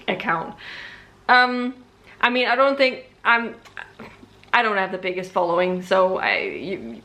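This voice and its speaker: A woman's voice: medium pitch, a bit bright. A young Danish woman, speaking English as a second language, chatting casually to camera about books with many ums and restarts. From Denmark. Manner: hesitant, many ums and restarts, deliberately slow. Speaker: book vlogger.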